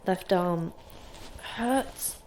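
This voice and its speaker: An actress playing an injured, terse manager, deadpan and clipped through labored breathing, talking to herself in a horror audio drama. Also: female voice, fairly low pitch, very slow, slight background noise. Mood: pained, methodical. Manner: clipped, deadpan, listing to self. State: hurt, labored breathing, sighing. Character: injured, terse, darkly sardonic manager fighting to stay focused.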